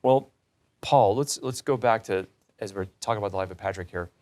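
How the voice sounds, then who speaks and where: Man, low voice, interview host, conversational, reflective, religious talk. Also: fairly quick, mellow.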